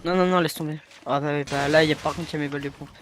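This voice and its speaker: A man chatting casually during an online game, excitable and swearing, often stammering. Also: fairly high pitch, quick pace, slight background noise. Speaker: gamer streaming a match. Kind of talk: casual gaming chat.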